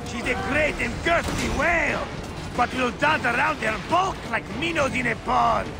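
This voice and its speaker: An actor voicing a gruff old sea captain in a video game, bellowing and florid, over loud music and battle at sea. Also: male voice, extremely high pitch, moderate pace. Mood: defiant, urgent. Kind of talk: video game dramatic acting.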